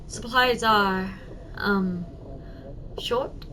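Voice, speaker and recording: A woman playing a giant, doting, teasing office secretary in an ASMR roleplay, speaking softly and coaxingly straight to the listener. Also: mid-range voice, drawn-out words, slight background noise, low-quality recording. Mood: helpful, attentive. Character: giant, doting, teasing female office secretary, tender toward tiny boss.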